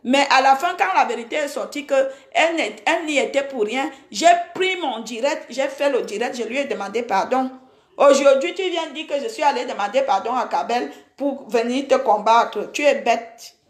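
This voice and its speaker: A woman's voice: sits high, moderate pace, roomy sound. A woman in her forties, from Cameroon, ranting indignantly at a rival, scolding and repeating herself. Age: 40s. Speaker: social media personality. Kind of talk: angry Facebook live rant.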